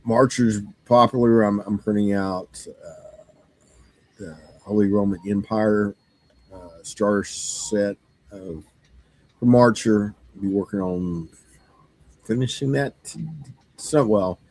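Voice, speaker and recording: Hoarse deep-voiced man rambling casually. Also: steady tone, darkish tone, dry recording, rough recording. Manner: halting, many fillers and restarts. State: hoarse, losing his voice. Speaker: game store owner. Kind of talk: casual monologue.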